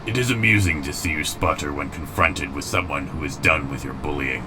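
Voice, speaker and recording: Very deep, grim robot warlord. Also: male voice, background noise. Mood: cold, menacing. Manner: formal, stern, deliberate phrasing. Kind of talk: dramatic comic dub acting. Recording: music behind the voice. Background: music.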